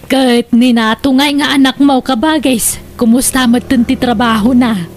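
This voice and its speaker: High-voiced gossipy village friend. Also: female voice, steady tone, unhurried. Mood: chummy. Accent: Filipino. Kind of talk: comedic radio drama acting. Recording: faintly noisy radio drama track.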